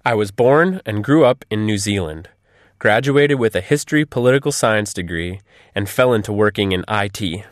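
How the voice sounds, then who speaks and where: Lowish-voiced man, scripted interview guest. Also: expressive, moderate pace, no echo, studio quality. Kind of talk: scripted interview answers read aloud.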